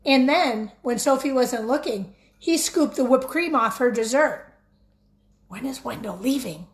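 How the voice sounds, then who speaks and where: A woman teacher reading a picture book aloud expressively, pausing to put questions directly to young listeners. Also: a little high. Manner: expressive, addresses children directly.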